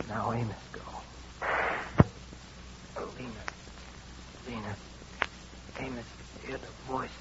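An actor playing an old Southern servant in a vintage radio drama, speaking solemn, folksy dialect in a gravelly voice. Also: male voice, medium pitch, lightly acted. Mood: mournful. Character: old Southern servant man, fearful, mournful, finally vengeful. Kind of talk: 1940s radio drama acting. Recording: muffled, noisy old radio recording.

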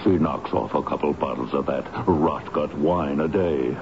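An actor playing a gruff, hard-hearted tenant in a radio drama, curt, sardonic and dismissive. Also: male voice, a bass voice, normal speed. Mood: cold, sardonic. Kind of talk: old-time radio drama acting. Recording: dull, noisy radio drama recording.

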